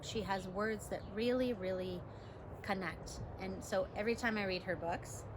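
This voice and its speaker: A woman teacher reading a storybook to pupils, expressive, with casual asides and questions to the young listeners. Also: medium pitch, moderate pace, background noise. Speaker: elementary school teacher.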